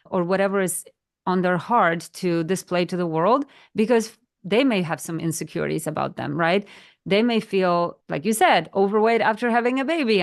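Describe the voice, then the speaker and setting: A woman in her thirties from Poland with a Polish accent, co-hosting a podcast chat and talking earnestly, sometimes searching for words. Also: mid-range voice. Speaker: podcast co-host. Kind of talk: casual chat.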